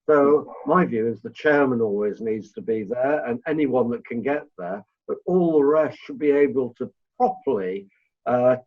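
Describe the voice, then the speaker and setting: A man's voice: mid-range voice, a little mellow. A man co-hosting a conversational podcast, rambling through his own opinions before putting his question. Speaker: podcast co-host. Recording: dull, lo-fi connection.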